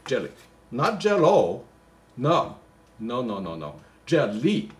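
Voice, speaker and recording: Man playing a goofy, baffled reader with swooping pitch. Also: huge pitch swings, slow. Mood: skeptical. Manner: bewildered, slangy, mock-indignant muttering. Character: Floyd, goofy, baffled, easily sidetracked male storybook reader. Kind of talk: comic poem reading with rambling asides.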